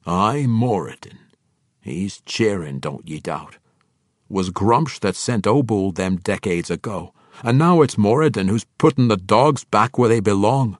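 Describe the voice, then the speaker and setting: A hearty, blunt old dwarf king rumbles in a thick brogue, as a man reads him aloud. He sits a touch higher and louder than a low male voice, recorded close and dry. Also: expressive, measured pace, no echo. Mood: proud. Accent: dwarvish brogue. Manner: boisterous and blunt, dropping words.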